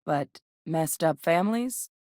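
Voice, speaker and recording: An actress playing a composed, earnest woman scientist with a military past in a video game, speaking in a measured, serious, articulate way. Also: low voice, lively intonation, darkish tone, no room sound, studio quality. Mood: warm, candid. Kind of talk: scripted game dialogue, naturalistic acting.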